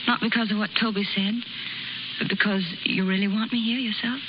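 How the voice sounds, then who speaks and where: A woman's voice: mid-range voice, steady tone, brisk, close to an everyday voice. A woman playing a naive, earnest young circus rider in a 1930s radio drama, hesitant and wistful. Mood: moved, hopeful. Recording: muffled, crackly 1930s radio recording.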